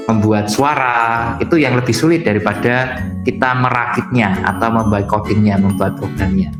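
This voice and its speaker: Male workshop instructor, informal and halting explainer, echoey video-call sound over background music. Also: lowish voice. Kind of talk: informal online tutorial. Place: indoor room on an online video call. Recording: roomy echo, music underneath. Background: soft guitar music.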